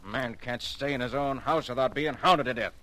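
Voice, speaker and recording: Surly, cornered suitor, played by a man: mid-pitched, hard bright voice, curt old radio acting. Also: fairly quick, slight background noise, close to an everyday voice, rough recording. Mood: defiant, irritated. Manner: curt, defiant.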